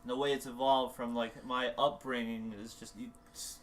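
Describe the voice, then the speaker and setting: A man's voice: mid-range voice, fairly even intonation, moderate pace, a little hiss or hum. A young man from Rhode Island, a podcast guest chatting in a laid-back way, earnest at times, chuckling often. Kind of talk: casual chat.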